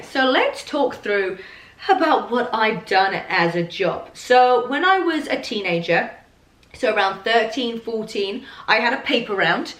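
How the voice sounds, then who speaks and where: A woman with a hoarse, husky voice from losing it, hosting a podcast in an upbeat, chatty way and coughing now and then. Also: mid-range voice, a bit bright. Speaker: podcast host. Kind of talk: casual chatty podcast monologue. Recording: roomy echo.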